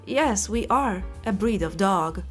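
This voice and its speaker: A woman's voice: mid-range voice, lively intonation, slow, faint music behind. A woman reading viewer comments aloud and adding dry, sarcastic asides.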